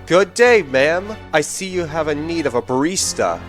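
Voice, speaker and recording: A man's voice: on the high side, expressive, normal speed, faint music behind, close to an everyday voice. A man voicing a scheming secret agent posing as a barista, overly formal and smug, for a fan comic dub.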